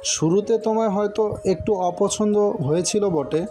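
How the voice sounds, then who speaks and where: High, mellow young husband acted by a man. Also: normal speed, soft background music, lightly acted. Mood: reassuring. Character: gentle, reassuring young newlywed husband. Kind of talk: audio story acting.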